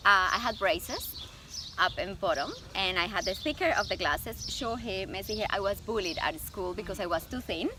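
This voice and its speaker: A woman in her forties from Colombia, speaking English with a Colombian Spanish accent, whose first language is Spanish. She is a guest talking warmly about her past, with frequent laughs and thoughtful pauses. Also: medium pitch, animated. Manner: warm, laughs often, thoughtful pauses with fillers. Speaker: guest, image consultant. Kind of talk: casual interview.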